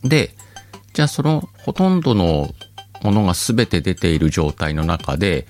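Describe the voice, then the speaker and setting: A very deep-voiced man with a lilt hosts his own radio-style show. His pitch swings widely as he muses aloud, teasing himself. Soft music plays faintly behind him. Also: big pitch swings, normal speed. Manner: rambling, self-mocking, chuckles at himself. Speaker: podcast host. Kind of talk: casual solo radio-style talk.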